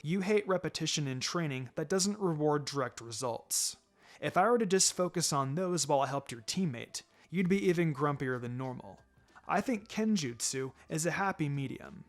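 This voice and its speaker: A man voicing a laid-back adult male ninja mentor in a fan fiction reading, wry and gently sombre, speaking in a measured, low-key way. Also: lively intonation. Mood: wry, teasing. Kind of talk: fan fiction audiobook character acting.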